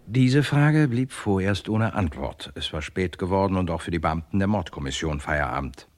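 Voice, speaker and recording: A man narrating a crime radio play from a script in a deliberate, measured way. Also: low-pitched, lively intonation, darkish tone.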